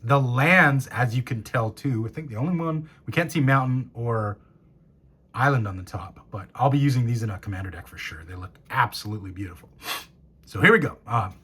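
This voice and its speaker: A hoarse, raspy man in his thirties, a game store owner, chatting casually and excitedly as he opens packs and reads out cards. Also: medium pitch, fairly quick. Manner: enthusiastic, reads card names and rules aloud. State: hoarse after a cold. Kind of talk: casual box-opening commentary.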